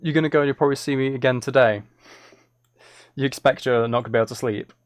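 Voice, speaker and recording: A man's voice: mid-range voice, fairly even intonation, quick pace, dry recording, clean studio sound. A man chatting casually as he works on music on a live stream, musing aloud in a self-deprecating way. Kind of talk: casual chat while working.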